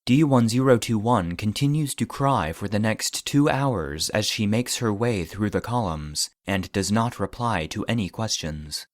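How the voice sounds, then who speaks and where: An impassive male database narrator for an audio drama. He reads formally and with detachment in a lowish voice, recorded very dry. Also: expressive, a little slow, few pauses, no echo. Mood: detached. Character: impassive male database voice reading incident logs. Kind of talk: scripted narration.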